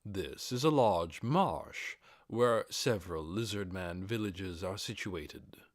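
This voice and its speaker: Male reader as fantasy audiobook undead overlord: low, measured, commanding, explanatory. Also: expressive, dry recording, clean studio sound, heavily acted. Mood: measured, explaining.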